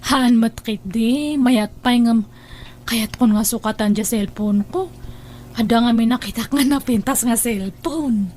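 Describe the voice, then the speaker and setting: A woman plays a young working daughter in an Ilocano audio drama, with a very high voice. She has an Ilocano Filipino accent and an offhand, self-indulgent manner. Her talk is dotted with drawn-out hums and casual brush-offs. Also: darkish tone, lightly acted. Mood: breezy, dismissive.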